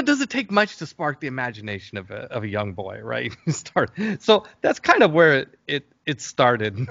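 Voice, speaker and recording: A man talking casually as an interview guest, telling stories in a hesitant but enthusiastic, halting way. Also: fairly low pitch, gravelly, fairly bright tone, dry recording, lo-fi sound. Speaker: interview guest, game creator. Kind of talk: casual interview talk, storytelling.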